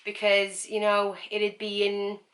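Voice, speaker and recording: A woman talking casually to camera in a vlog, with a croaky voice and a chatty, frank manner full of fillers and repeats. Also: medium pitch, completely flat delivery, slow, fairly bright tone. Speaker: vlogger.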